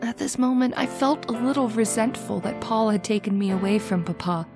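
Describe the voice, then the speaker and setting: An actress playing a devoted young married daughter in an old-time radio horror drama, with an earnest, melodramatic delivery. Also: female voice, a little high, fairly even intonation, fairly quick, music in the background, natural voice. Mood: sorrowful, haunted.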